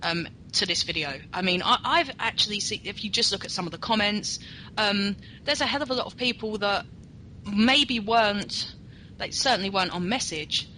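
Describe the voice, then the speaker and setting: A woman hosts a casual talk with a bright, forward voice at a medium pitch. She speaks with enthusiasm and builds her points up into questions for her guests. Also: moderate pace, slight background noise, rough recording. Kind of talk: casual podcast chat.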